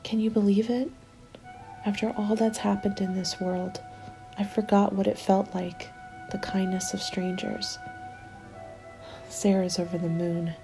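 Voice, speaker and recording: A woman playing a weary but hopeful zombie-apocalypse survivor reading out a winter journal, in a soft, breathy, intimate ASMR roleplay style that often slips toward a whisper. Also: mid-range voice, level tone, a little slow, long pauses, music in the background, natural voice. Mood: guarded wonder. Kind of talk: ASMR roleplay, scripted dramatic narration.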